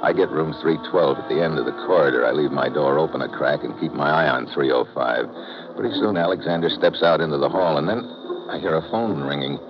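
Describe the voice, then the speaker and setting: An actor playing a tough, wisecracking American investigator, narrating wryly in clipped old-time radio style. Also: male voice, extremely low pitch, brisk, music in the background. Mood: tense narration. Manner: wry, clipped, hard-boiled. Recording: muffled, hissy old radio recording.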